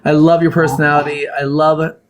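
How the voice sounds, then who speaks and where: A woman's voice: low voice, level tone, brisk, a crowd in the background. A woman hosting a casual live chat who asks long, rambling questions and talks encouragingly to her guest. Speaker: host of a live panel.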